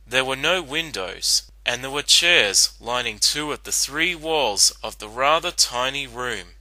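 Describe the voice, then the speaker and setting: A man narrates a story as a scripted dramatic reading. His voice sits at a medium male pitch and is very bright and forward, recorded dry with no room sound. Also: dry recording, low-quality recording.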